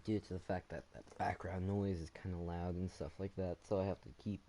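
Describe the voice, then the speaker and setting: A man hosting a gaming video, talking casually and reading dialogue aloud in a halting, hesitant way. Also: deep voice, little pitch movement, dark tone. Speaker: gaming channel host. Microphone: gaming microphone with fluctuating volume. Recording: clear background noise, clicks.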